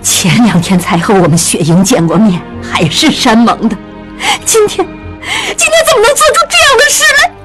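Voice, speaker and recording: A distraught matron of an old gentry house, tearful and pleading, in a very high voice, as a male narrator puts her on. She cries through her words in a storybook telling. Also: big pitch swings, moderate pace, a bit bright, faint music behind. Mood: shocked, distraught. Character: anguished middle-aged mother of a gentry household.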